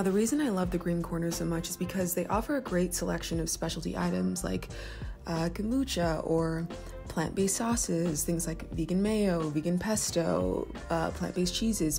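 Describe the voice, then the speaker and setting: Young woman vlogging on her couch, kinda low and gravelly, chatty and upbeat, music going underneath. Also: a little mellow. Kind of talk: casual vlog talk to camera and voice-over. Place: living room, seated on a sofa.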